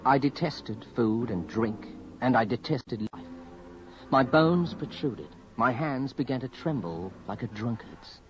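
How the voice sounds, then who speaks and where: A man's voice: mid-range voice, expressive, a little slow, natural voice. An actor playing a wretched, haunted young man in a radio horror drama, with an anguished, faltering delivery. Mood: haunted, despairing. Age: young adult. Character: tormented young man, haunted and wretched, narrating his downfall. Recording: dull, lo-fi vintage radio recording.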